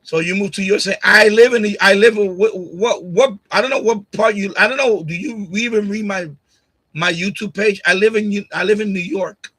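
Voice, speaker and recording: A man's voice: high-pitched, normal speed, a bit bright, slightly rough, low-quality recording. A man from Puerto Rico hosting a casual live stream, arguing bluntly with commenters, repeating himself and laughing often. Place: home streaming setup. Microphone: USB condenser mic on boom arm.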